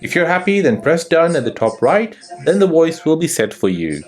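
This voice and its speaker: A man's voice: a little high, sing-song, moderate pace, soft background music. A man hosting a step-by-step tutorial, narrating in a scripted instructional manner with sing-song emphasis. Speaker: tutorial host.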